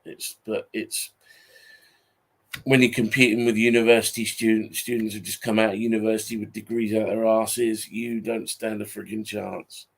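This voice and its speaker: A British man in his forties talking casually and off the cuff in a halting, repetitive, grumbling way, sounding tired. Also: fairly low pitch, level tone, vocal fry, slightly rough. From Britain. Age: 40s. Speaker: YouTube channel host. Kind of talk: casual unscripted monologue.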